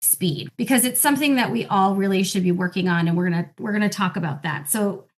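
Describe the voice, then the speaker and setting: A woman's voice: medium pitch, quick pace. A woman hosting a podcast in an upbeat, encouraging way as she introduces and questions her guests. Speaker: podcast host.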